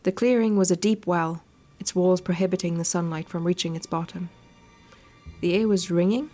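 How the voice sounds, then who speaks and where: A woman's voice: fairly low pitch, fairly even intonation, normal speed, dark tone. A woman streaming a video game, reading the story text expressively and adding teasing, coarse-mouthed asides. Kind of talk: reading visual novel text aloud with joking commentary. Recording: music under the voice. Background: game music.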